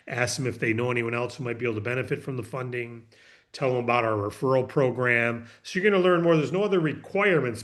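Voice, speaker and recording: Man, mid-pitched, friendly, explanatory, long answers. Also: fairly bright tone. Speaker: company presenter answering broker questions. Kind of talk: business Q&A on a video call.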